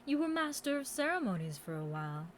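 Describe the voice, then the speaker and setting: A woman's voice: high-pitched, very animated, fast, a little hiss or hum. Woman acting as a taunting, seductive Demon Queen. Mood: condescending, mock pity. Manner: taunting, condescending, theatrical. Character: seductive, mocking immortal Demon Queen taunting a fallen hero. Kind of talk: scripted audio roleplay monologue.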